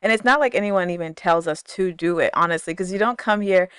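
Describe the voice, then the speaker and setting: A Ghanaian-born woman with an American accent hosting a casual interview, talking in an animated way and acting out the voices she quotes. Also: on the low side, fast talker, clear, resonant tone, dry recording, clean studio sound. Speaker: podcast host, therapist.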